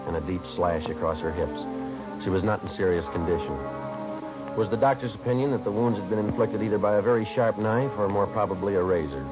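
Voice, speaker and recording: An actor playing a businesslike police sergeant in an old radio crime drama, clipped and matter-of-fact, on a muffled vintage recording. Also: male voice, low-pitched, lightly acted. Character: businesslike male police sergeant, terse and steady. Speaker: police detective narrator. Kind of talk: 1950s radio crime drama acting and narration. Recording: muffled old radio broadcast, music behind.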